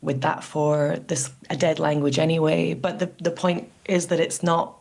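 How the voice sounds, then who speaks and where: A woman, an actress guest in a radio interview, speaking in a thoughtful, measured way. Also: sits low, little pitch movement, gravelly, darkish tone. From Scotland.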